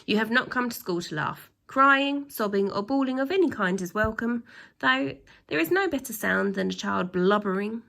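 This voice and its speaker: Female reader as male school tyrant for a storybook: pompous, stern, creaky, hammering each rule with drawn-out emphasis. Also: fairly high pitch, lively intonation, fairly bright tone. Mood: pompous, indignant. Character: pompous tyrannical male headmaster reading out his rules. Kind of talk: children's storybook read-aloud.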